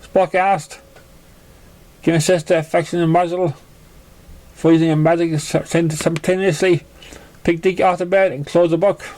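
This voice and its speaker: Higher-voiced man reading a story aloud. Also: flat delivery, normal speed, long pauses, a little mellow. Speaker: fan fiction reader. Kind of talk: scripted story narration.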